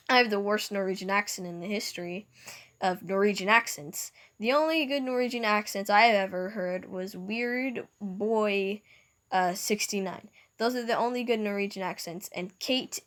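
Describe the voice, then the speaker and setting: A woman's voice: mid-range voice, normal speed, a bit bright. A woman reading a second-person fan story aloud, with frequent stumbles and restarts. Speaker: storyteller and video maker.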